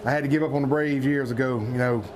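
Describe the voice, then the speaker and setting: Middle-aged man, hoarse, joking color commentator, mid-range voice. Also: mid-range voice, steady tone, fast talker, darkish tone, slight background noise. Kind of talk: local football commentary banter.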